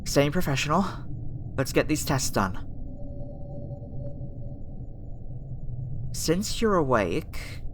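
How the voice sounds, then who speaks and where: A woman's voice: very deep voice, a bit bright, full character voice. An earnest alien girl researcher, acted by a woman for an ASMR roleplay. She is reassuring but hesitant and easily flustered, tripping over her words. A noticeable ambient noise sits behind the voice. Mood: gently professional.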